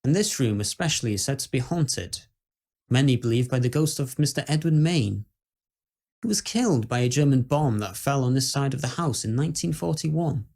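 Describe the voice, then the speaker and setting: A man plays a British haunted-house tour guide in a scripted ghost story. He sounds courteous and solemn, explaining with measured, dry menace at a middling pitch. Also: lively intonation, gravelly, a little mellow. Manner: measured, explanatory, dryly ominous.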